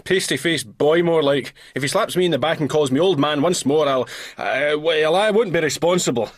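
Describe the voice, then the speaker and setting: An actor in a radio drama playing a reserved young Scottish country doctor, curt and easily indignant, with a husky voice. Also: male voice, on the high side, moderate pace, no echo, studio quality, natural voice. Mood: prickly, indignant.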